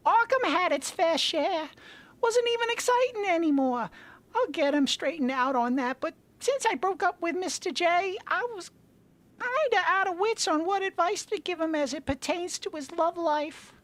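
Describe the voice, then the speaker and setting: A woman's voice: animated, normal speed. A woman voicing a giddy, chatty cartoon villainess in a comedic fanfiction reading, breezy about her dangerous friends. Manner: breezy, shrill laugh. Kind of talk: comedic fanfiction read-aloud.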